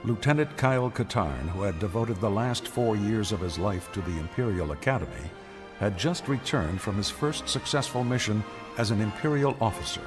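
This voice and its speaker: A man's voice: on the low side, expressive. Solemn male narrator with dramatic, varied delivery. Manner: solemn, measured, portentous. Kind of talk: dramatic scripted narration of space saga. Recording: music under the voice.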